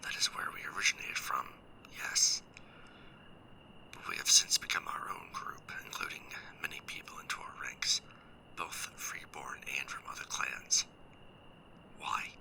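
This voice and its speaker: A man playing a proud, bitter stranded mech warrior in an ASMR sci-fi roleplay, speaking in a hushed, solemn, formal way. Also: extremely low pitch, unhurried, vocal fry, exaggerated voice, poor audio. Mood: guarded.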